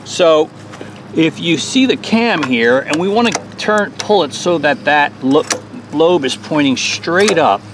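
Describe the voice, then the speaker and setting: A man's voice: on the high side, lively intonation, measured pace, background noise. A man in his forties hosting a hands-on small engine repair tutorial outdoors on a lawn, explaining each step in a plain, folksy way.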